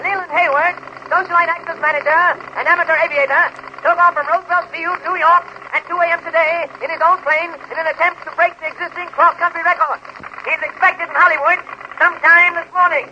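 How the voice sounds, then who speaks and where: An actor playing an urgent radio newscaster in 1930s bulletin style. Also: male voice, extremely high pitch, flat delivery, fairly quick, sharp tone, heavily acted. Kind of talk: 1930s news bulletin delivery. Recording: dull, noisy 1930s radio recording.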